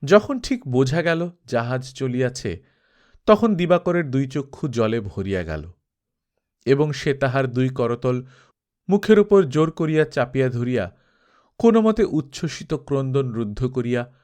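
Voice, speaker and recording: A man narrating a classic novel as an audiobook, with solemn, expressive and measured phrasing. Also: medium pitch. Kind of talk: scripted literary narration, dramatic storytelling.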